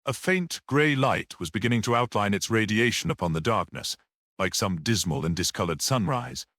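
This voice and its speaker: A man reading a gothic mystery story as an audiobook narrator, in a measured, expressive literary manner. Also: lowish voice, no echo, clean studio sound.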